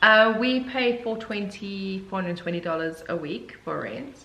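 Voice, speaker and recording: A woman with a South African accent tells her story in a relaxed, chatty way, often breaking into laughter. She is recorded in an echoey room with a faint hiss underneath. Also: medium pitch, measured pace. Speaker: interview guest. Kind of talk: casual interview.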